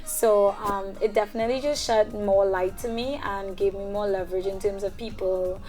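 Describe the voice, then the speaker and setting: Young woman, casual interviewee, mid-range voice, relaxed filler-heavy delivery. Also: mid-range voice, moderate pace, faint music behind, rough recording. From Trinidad and Tobago. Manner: chatty, frequent "um" fillers and soft laughs. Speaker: singer-songwriter guest.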